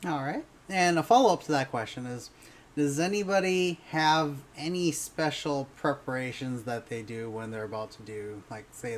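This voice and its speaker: A man moderating a panel, putting questions in a casual, conversational way. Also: medium pitch.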